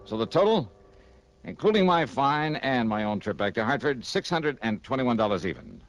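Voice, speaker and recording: Brash, animated 1950s radio detective man. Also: medium pitch, normal speed. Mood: wry, satisfied. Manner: wry, conversational, emphatic. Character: wry, brash male freelance insurance investigator. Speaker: radio drama lead actor. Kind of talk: 1950s hardboiled radio acting, first-person narration. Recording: vintage radio drama with music.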